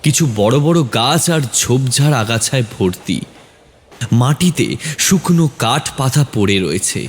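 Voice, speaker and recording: Male narrator, mid-pitched and highly expressive, suspenseful delivery for scripted horror storytelling. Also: a little slow, a little hiss or hum. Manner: dramatic, suspenseful.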